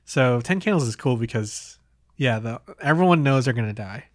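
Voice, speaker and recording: A man hosting a podcast, talking casually about games in a hesitant, halting way. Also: mid-range voice, lively intonation, no echo, clean studio sound. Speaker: podcast host.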